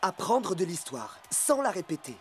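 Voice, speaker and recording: A man's voice: high voice, very animated, moderate pace, soft background music. A man playing an eager, earnest young football player in a cartoon dub. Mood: pondering, eager.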